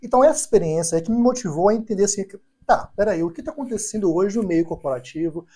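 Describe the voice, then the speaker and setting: A man's voice: sits high, quick pace, mellow. A young man speaking as a psychologist guest on a podcast, affirming and telling stories. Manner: affirming, storytelling, frequent "né" and "perfeito". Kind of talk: podcast interview chat.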